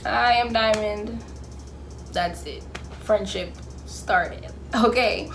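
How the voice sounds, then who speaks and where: A woman's voice: medium pitch, takes it slowly, vocal fry, a little hiss or hum. A young woman from Jamaica with a mixed Jamaican and Bahamian accent, talking casually to camera with sassy, sarcastic asides. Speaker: vlogger.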